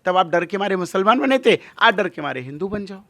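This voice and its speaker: High-pitched, emphatic male religious leader. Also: animated, fairly quick, bright, forceful tone, no room sound. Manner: emphatic, rhetorical questions and repetition. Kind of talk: impassioned interview monologue.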